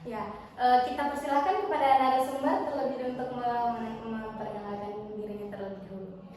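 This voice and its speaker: High-voiced woman hosting in an echoey room. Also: flat delivery, fairly quick, darkish tone. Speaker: podcast host. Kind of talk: podcast interview, lightly scripted. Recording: echoey hall, distant mic, background noise.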